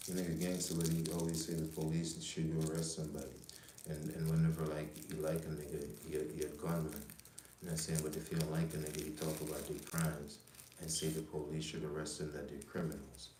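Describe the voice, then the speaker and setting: A man's voice: extremely low pitch, completely flat delivery, fairly quick, mellow, reverberant, slight background noise, poor audio. A man from Jamaica who grew up in the US, hosting a rambling, profane livestream full of street talk and constant "you know what I'm saying.". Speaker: livestream host. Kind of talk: casual livestream monologue.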